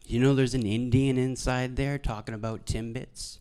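A young man from Alberta, doing stand-up storytelling on a stage microphone in a deadpan, halting, self-deprecating way. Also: mid-range voice, clean tone. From Alberta, Canada. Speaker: stand-up comedian. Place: live venue stage before an audience.

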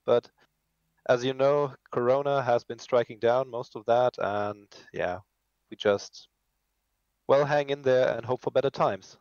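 A man's voice: mid-range voice, fairly even intonation, unhurried, darkish tone, clean tone, no room sound. A man in his twenties from Germany chatting casually as a guest on a podcast. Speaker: podcast guest, hobby train builder. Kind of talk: casual chat. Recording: dull, dry lo-fi call audio.

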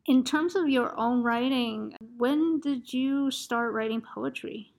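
A woman's voice: high voice, takes it slowly, vocal fry, darkish tone. A woman interviewing a guest, asking questions and responding with frequent short acknowledgements. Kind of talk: conversational interview.

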